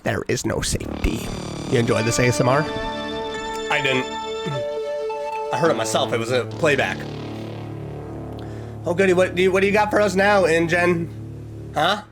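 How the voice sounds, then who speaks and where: A man giving casual, sarcastic reaction commentary with animated, mock-excited asides over background music. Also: fairly high pitch, moderate pace, pauses often. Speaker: reaction video host.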